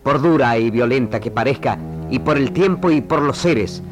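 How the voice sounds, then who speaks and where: Declamatory radio announcer over loud music. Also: male voice, mid-range voice, expressive, normal speed. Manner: declamatory, solemn. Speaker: announcer and narrator. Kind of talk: dramatic old radio serial narration.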